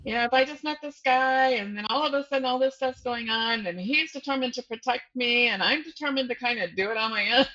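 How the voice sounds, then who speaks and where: A woman's voice: fairly high pitch, quick pace, hardly any pauses, bright, forceful tone, lo-fi sound. A woman speaking as a guest novelist in a casual, informal interview, often breaking off and rephrasing as she thinks aloud.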